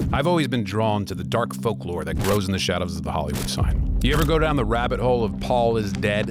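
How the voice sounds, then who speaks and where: Man acting as a mystery podcast host, low voice, brooding, measured, inquisitive, scripted audio drama, music underneath. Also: lightly acted. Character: probing male host of a conspiracy mystery podcast. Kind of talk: scripted podcast narration and interviewing.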